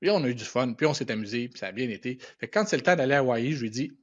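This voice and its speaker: A middle-aged man with a Quebec French accent and a mid-pitched voice. He talks as an interview guest in a casual, colloquial storytelling way, dropping in English words. The recording is slightly dull and lo-fi. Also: animated, racing. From Quebec, Canada. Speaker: podcast guest, animation and visual effects professional. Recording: dull, band-limited podcast audio.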